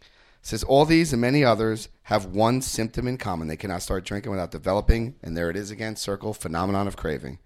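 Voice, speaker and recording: A man's voice: on the low side, fast talker. A man from New Jersey with a New Jersey accent, leading a recovery group through a book with jokes and stories and checking in with his listeners. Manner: joking, storytelling, checks in often with the group. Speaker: recovery workshop speaker.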